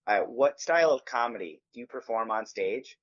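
A man's voice: mid-range voice, expressive, fairly quick, dry recording, highs cut off. A man hosting a comedy podcast, asking questions in a laid-back way and laughing easily. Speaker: podcast host. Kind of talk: casual podcast chat.

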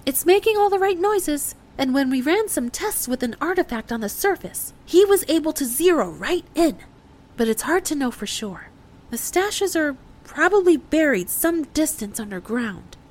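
A practical moonbase leader in a sci-fi audio drama. The voice is high-pitched and the delivery careful and matter-of-fact. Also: female voice, very animated, moderate pace. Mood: practical, cautious.

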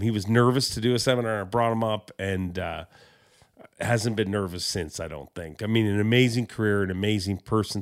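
Male podcast host, a bit hoarse, mid-pitched, swoops up and down a lot, jokey and rambling. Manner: joking, rambling, self-mocking. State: a little hoarse. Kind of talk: casual podcast chat.

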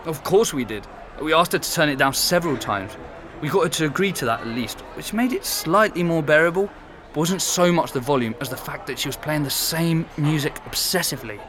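Casting: actor for talkative, exasperated male flatmate, lightish high register, animated anecdote delivery, audio drama with music. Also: brisk, noisy background, close to an everyday voice. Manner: animated storytelling, mimicking and exclaiming. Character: exasperated, chatty former flatmate being interviewed. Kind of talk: conversational audio drama acting.

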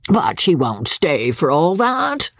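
A man voicing a crude, leering old baronet near eighty, who bawls, chuckles and mutters sly asides in a gravelly rasp. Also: on the high side, wildly swooping pitch, slow pace, a bit bright, no echo, studio quality, heavily acted. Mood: mocking. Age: older.

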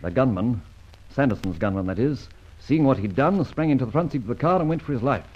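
An actor playing a suave, mocking masked gentleman adventurer in an old radio serial, polished and drily ironic, with courteous menace. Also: medium pitch, animated, a little fast, husky. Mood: brisk, wry. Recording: muffled old radio drama recording.

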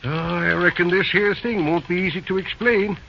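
An actor playing an older, weary but trusting railroad superintendent in a radio drama, with a hesitant, homespun rural manner of speaking. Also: male voice, sits high, creaky. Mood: gentle, regretful. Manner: hesitant, homespun, slow to judge. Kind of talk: early radio drama acting. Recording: muffled, noisy old-time radio recording.

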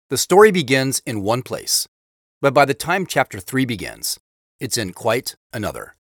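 A man's voice: medium pitch, a bit bright, dry recording, studio quality. A man narrating an audio drama from a script, as storyteller and host. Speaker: narrator and show creator. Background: music.

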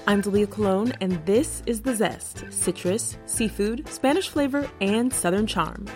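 A woman hosting a podcast, reading a scripted introduction in a measured way. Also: mid-range voice, darkish tone. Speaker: podcast host. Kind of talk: scripted narration. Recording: faint music underneath.

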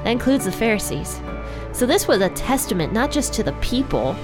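A woman's voice: fairly high pitch, sing-song, fairly quick, over music. A woman with a head cold, sounding congested, hosting a casual Bible devotional podcast and chatting directly to her listeners. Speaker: podcast host.

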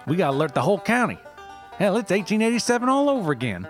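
A man's voice: high-pitched, sing-song, very fast, soft background music, voice pushed up. A man voicing an anxious, folksy Appalachian mountain man in a mock documentary. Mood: panicked. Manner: frantic, folksy.

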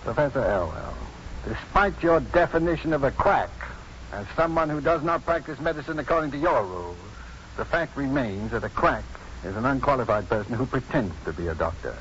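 An actor playing a proud, unconventional doctor with a formal, precise, dryly sardonic manner in a 1950s radio drama. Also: male voice, mid-range voice, lively intonation, fairly quick, a bit bright, close to an everyday voice. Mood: firm, earnest. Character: proud unconventional male doctor, coolly defiant and dryly witty. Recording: dull noisy 1950s radio recording.

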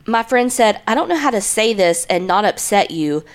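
A woman co-hosts a podcast while congested, her stuffy nose plain in every line. She talks bluntly and swears freely, and her pitch swings widely as she chats and reads listener stories. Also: animated, normal speed. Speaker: podcast co-host. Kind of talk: casual chat and reading listener stories.

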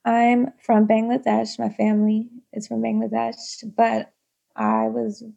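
A woman's voice: a little high, completely flat delivery, a little slow, vocal fry, mellow, dull audio. A woman telling her own story in a casual interview, chatty, full of likes and you knows. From United States. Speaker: guest.

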